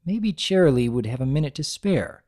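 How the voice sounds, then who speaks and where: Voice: medium pitch, lively intonation, normal speed, mellow. A narrator giving a dramatic reading of a story in scripted storybook style.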